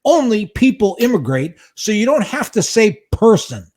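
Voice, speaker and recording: A man's voice: high voice, sing-song, moderate pace, darkish tone, a little hiss or hum. A man in his sixties co-hosting a podcast, ranting in an exasperated, indignant way during casual chat. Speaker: podcast co-host.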